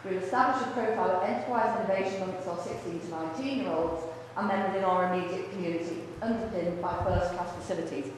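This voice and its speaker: A woman, a college vice principal giving a brisk, businesslike presentation to a room without a microphone. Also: fairly low pitch. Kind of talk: presentation to a luncheon audience. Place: large echoey function room. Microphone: far room microphone. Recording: echoey hall from far off, faint noise.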